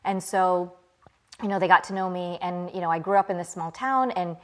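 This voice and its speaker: Lowish-voiced woman telling personal stories. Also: normal speed, fairly bright tone. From born in England, grew up in small-town Virginia, USA. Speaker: interview guest, company CEO. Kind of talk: casual personal storytelling.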